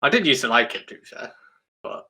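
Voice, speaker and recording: A man's voice: medium pitch, sing-song, fairly quick, pushed and bright, husky. A man co-hosting a sports podcast, chatting casually and offering his opinions. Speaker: podcast co-host.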